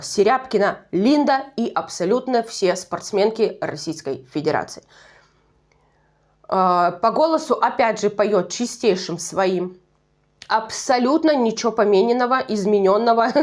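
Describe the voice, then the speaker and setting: Woman, Russian Far East accent, slightly high voice, casual review chat, digressive, self-correcting. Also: darkish tone. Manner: chatty, digressive, self-correcting, laughs at own slips. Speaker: nostalgic TV show reviewer. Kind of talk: casual solo review commentary.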